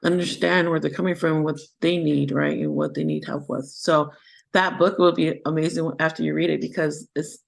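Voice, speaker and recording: A woman's voice: low voice, animated, moderate pace, gravelly. A woman from the United States, a guest on a podcast, talking in an upbeat, affirming way and often checking in with "right". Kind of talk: casual interview chat.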